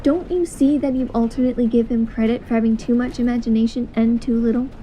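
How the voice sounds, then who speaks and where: A woman playing a brisk, self-assured male detective in an amateur audio drama, speaking formally and analytically. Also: a little high, mellow, slight background noise, natural voice, rough recording.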